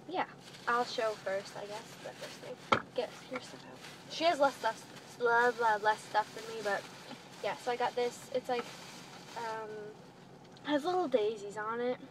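Teenage female vlogger, higher-pitched voice, casual haul chat, noticeable noise inside a car. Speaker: vlogger showing a clothing haul. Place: inside a parked minivan. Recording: noisy phone-style recording inside a car.

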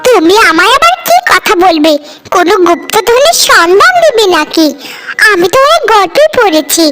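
Cartoon village wife, played by a woman: young, rude, sarcastic, quick-tempered, very high-pitched. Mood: mocking. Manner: scolding, sarcastic. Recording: music behind the voice.

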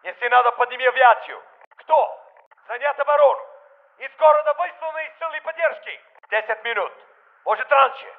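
An actor playing a stern Russian military commander in a shooter game, authoritative and urgent, with a Russian accent. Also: male voice, very high-pitched, moderate pace, vocal fry, phone-quality sound.

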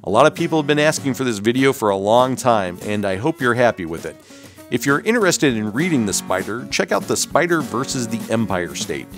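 A man's voice: medium pitch, very animated, brisk, soft background music. A man hosting and narrating a scripted documentary-style video.

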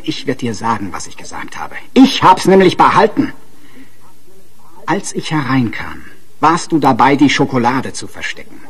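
A man's voice: medium pitch, sing-song, normal speed, breathy edge. An actor playing a domineering, abusive husband in a German radio crime drama, sarcastic and hectoring as he talks. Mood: sneering. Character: domineering, sneering, abusive husband who bullies his wife.

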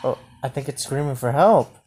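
A woman's voice: a bass voice, moderate pace. A woman improvising a game roleplay as she plays, often hesitating and repeating words. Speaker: gamer playing a Minecraft roleplay. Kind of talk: improvised roleplay gameplay commentary. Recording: noisy phone gameplay recording with clicks.

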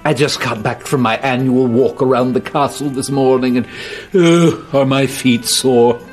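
A man's voice: mid-range voice, fairly even intonation, measured pace, faint music behind, close to an everyday voice. An actor playing a foolish, self-indulgent middle-aged king in a campy video game cutscene, with a pompous, dithering manner. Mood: weary, indulgent.

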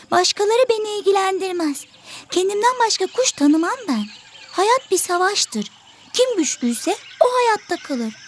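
A tiny selfish songbird for a children's cartoon, extremely high and lively in pitch. The bird is boastful, dismissive and always ready to argue. There is faint noise behind the voice. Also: female voice. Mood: boastful, defiant. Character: selfish, vain, argumentative little songbird. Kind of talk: children's educational cartoon acting.

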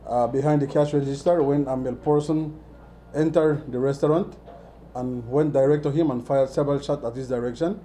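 A middle-aged Belizean police officer speaks in very dark, mellow tones, using stiff official phrasing. He talks into a handheld mic in an office, with faint noise behind him. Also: mid-range voice, fairly even intonation. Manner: formal police jargon, deliberate. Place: office, indoors. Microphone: handheld mic at close range.

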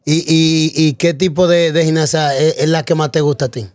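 A man's voice: fairly high pitch, little pitch movement, normal speed, darkish tone, no echo, studio quality. A man interviewing a guest in a joking, teasing way, full of slang exclamations.

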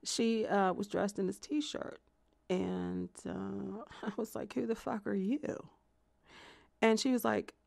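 A woman's voice: mid-range voice, steady tone, takes it slowly, creaky, darkish tone, no echo. A woman playing a jilted, obsessive lover in an audio theatre monologue, confessing in a hesitant, conversational ramble with self-justifying, indignant edges. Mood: casual, confiding, then indignant, incredulous. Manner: hesitant, filler-strewn rambling that flares into swearing indignation.

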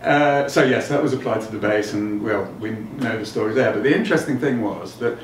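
A middle-aged man giving a technical explanation as an interviewed designer, halting and thinking aloud as he goes. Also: lowish voice. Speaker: loudspeaker designer being interviewed. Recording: roomy, distant mic, noticeable background noise.

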